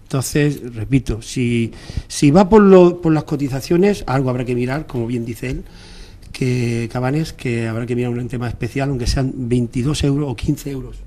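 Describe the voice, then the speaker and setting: A man's voice: medium pitch, moderate pace, warm tone. A man with an accent from Spain arguing off the cuff as a debate guest, repeating his points for emphasis. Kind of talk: spontaneous panel debate.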